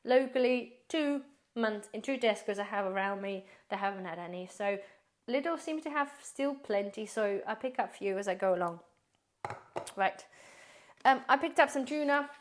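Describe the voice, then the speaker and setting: A woman prepper vlogger chatting casually through a grocery haul, rambling, addressing viewers as guys, her voice slightly hoarse. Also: medium pitch, animated, moderate pace. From based in the UK.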